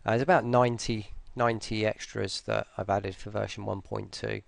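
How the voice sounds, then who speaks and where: A man with a fairly low voice and plenty of vocal fry, sounding tired. He gives an unscripted walkthrough, low-key and hesitant, wandering as he goes. The sound is close and dry. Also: fairly even intonation, moderate pace, no echo. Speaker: voice pack creator presenting an update. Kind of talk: casual, unscripted software walkthrough.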